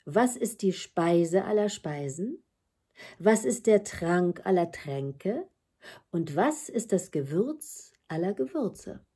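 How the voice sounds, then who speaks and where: A woman acts out a proud young princess from a storybook. Her voice is soft, dark and husky, and she sets out her demands slowly and deliberately. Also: on the low side, sing-song, exaggerated voice. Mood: haughty, deliberate. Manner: slow, deliberate, hushed.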